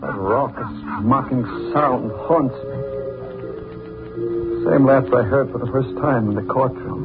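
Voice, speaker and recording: A man playing a haunted, tormented judge who narrates in a brooding, halting way, in 1940s radio drama style. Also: medium pitch, over loud music, lightly acted. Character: haunted, tormented male judge recalling events. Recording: muffled, lo-fi 1940s radio recording.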